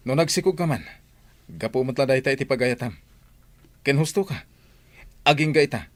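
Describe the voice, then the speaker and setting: A man's voice: mid-range voice, normal speed, close to an everyday voice. An actor playing a blunt, stern man in an Ilocano village radio drama. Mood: angry.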